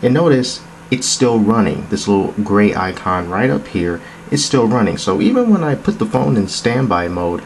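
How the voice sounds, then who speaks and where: A man's voice: fairly low pitch, sing-song, moderate pace, creaky, few pauses, rough recording. A man casually narrating a tech demo in an informal, explanatory, conversational way, recorded indoors. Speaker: app demonstrator. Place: indoors, phone demo on a wooden table. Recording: noticeable background noise.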